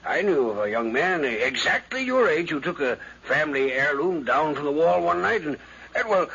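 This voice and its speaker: An actor playing a garrulous, morbid older gentleman who rambles and hems, in a dull, noisy 1950s radio drama recording. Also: on the high side, big pitch swings, normal speed, vocal fry, slightly rough, full character voice. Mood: genial, morbid. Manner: rambling, blustery, hemming.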